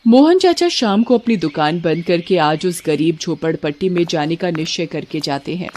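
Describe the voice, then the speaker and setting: A woman's voice: fairly low pitch, expressive, normal speed, a little mellow. A woman narrator telling a scripted children's story.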